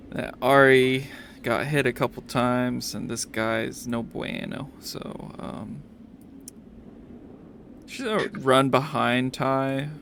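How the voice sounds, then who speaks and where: Slow, gravelly man running a tabletop game. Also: mid-range voice, fairly bright tone, a little hiss or hum. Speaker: game master. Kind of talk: narrating a tabletop roleplaying game. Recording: music behind the voice. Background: music.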